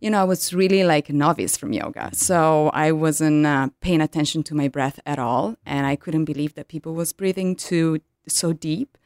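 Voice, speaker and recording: A woman in her thirties from Italy with an Italian accent, a yoga teacher telling her story in an interview, pausing often to find words in English. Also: low-pitched, a little mellow, no echo, studio quality. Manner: hesitant, searching for English words with many ums.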